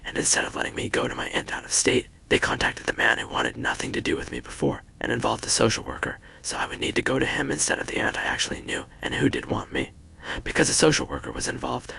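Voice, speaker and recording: A man narrating a scripted first-person story in a hushed, breathy whisper. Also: mid-range voice.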